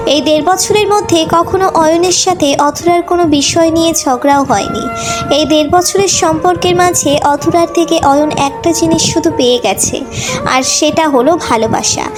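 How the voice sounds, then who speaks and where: A woman's voice: sits high, low-quality recording. A woman storyteller reading a scripted romantic story as narration. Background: background music.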